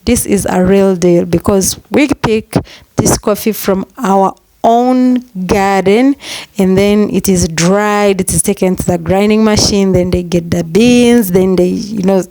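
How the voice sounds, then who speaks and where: A woman's voice: mid-range voice, steady tone, a little slow, mellow, rough recording. A woman from Uganda with a Ugandan accent, chatting upbeat and repetitive to camera in her village coffee garden. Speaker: vlogger. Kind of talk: casual vlog talk to camera. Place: outdoors in a rural coffee garden.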